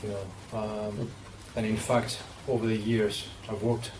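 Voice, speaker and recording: A middle-aged man with a Greek accent talks earnestly in a roundtable discussion, pausing often on fillers and starting sentences again. He is picked up from a distance in a reverberant event room. There is steady background noise behind him. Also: fairly low pitch. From Greece. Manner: earnest, thoughtful, with frequent fillers and restarts. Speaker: panelist working with athletes. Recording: distant mic in an echoey, noisy room.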